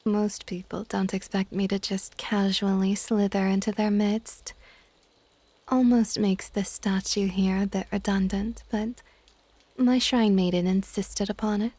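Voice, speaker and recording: A woman playing a possessive, affectionate dragon goddess in an ASMR audio roleplay, with a soft, whispery, teasing and intimate delivery. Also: fairly even intonation, no room sound. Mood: teasing, welcoming. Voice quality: soft, breathy whispering mixed with low-volume speech. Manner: doting, teasing, unhurried.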